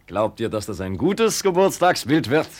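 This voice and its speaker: A scornful, self-important farmer in an old radio drama. His mid-pitched delivery swings widely and theatrically, full of pomp. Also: very animated, moderate pace. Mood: bossy. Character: pompous, scornful farmer hosting a family birthday. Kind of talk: 1950 radio play acting.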